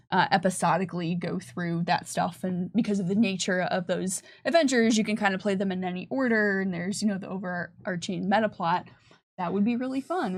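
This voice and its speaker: A woman chatting casually as a game developer on a livestream, explaining things with enthusiasm. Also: mid-range voice. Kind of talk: casual chat.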